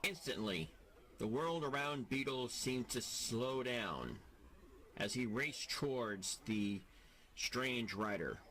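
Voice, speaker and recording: A man narrating an audio drama from a script in a deliberate, dramatic storytelling manner. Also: medium pitch, lively intonation, sharp tone, a little hiss or hum.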